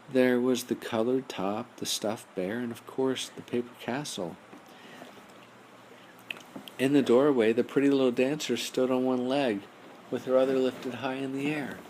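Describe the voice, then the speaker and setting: A man narrating a children's bedtime story in a measured storybook style, as an audiobook reader. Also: medium pitch, darkish tone. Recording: noisy amateur home recording.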